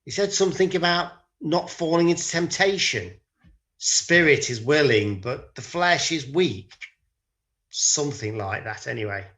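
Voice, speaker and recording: A man's voice: a little high, lively intonation, a little slow, a bit bright. A man playing a tired, defensive disciple who recounts a night in a hesitant, colloquial, confessional monologue. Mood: rueful, tired. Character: weary disciple, sheepish, defensive, mildly resentful, recounting a night. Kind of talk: dramatic monologue in conversational modern speech.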